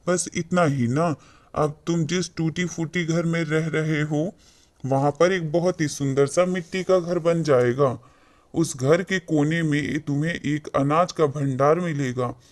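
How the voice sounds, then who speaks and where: Cartoon swami, played by a man: fairly high male voice, benevolent, gently instructing, grants wishes. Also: natural voice. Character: kind, wish-granting male holy man. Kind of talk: cartoon moral story acting.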